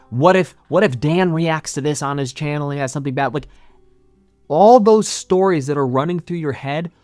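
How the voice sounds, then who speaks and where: Male music coach, slightly high voice, emphatic motivational explainer who repeats key phrases, recorded close and dry. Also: no room sound. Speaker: music coach and channel host. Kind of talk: motivational talk to camera, explaining.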